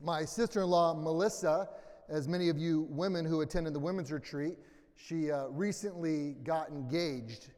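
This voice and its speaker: Man, American accent, higher-than-average voice, conversational preacher, informal humor, long boomy reverb. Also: moderate pace, a little mellow. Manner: informal, self-deprecating humor. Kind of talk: conversational sermon with personal anecdotes. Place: large indoor church room, amplified.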